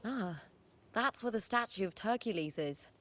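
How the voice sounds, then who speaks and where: A woman's voice: mid-range voice, expressive, moderate pace, a bit bright, close to an everyday voice. A woman playing a confident, composed female warrior who guides others in a video game. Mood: matter-of-fact. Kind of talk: scripted video game dialogue.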